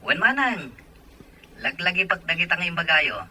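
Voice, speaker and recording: A man's voice: high voice, animated, normal speed, pushed and bright, exaggerated voice. A man acting an eager, respectful young man happily in love in an Ilocano audio drama. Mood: happy, respectful.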